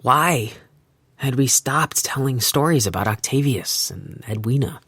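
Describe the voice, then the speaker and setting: A young man performing first-person audio drama narration as a man recounting his relationship during lockdown. He speaks in a wry, gently amused and reflective way. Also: medium pitch, lively intonation, unhurried, slightly rough, no echo, heavily acted.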